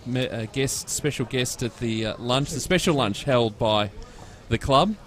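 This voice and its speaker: A man calling a football broadcast in a relaxed, conversational way with occasional fillers. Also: medium pitch, a little slow. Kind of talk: live sports commentary.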